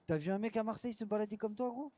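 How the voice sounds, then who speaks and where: A man's voice: high-pitched, racing, dark tone. A man chatting casually in slang during an online roleplay game, with a confrontational, bantering manner.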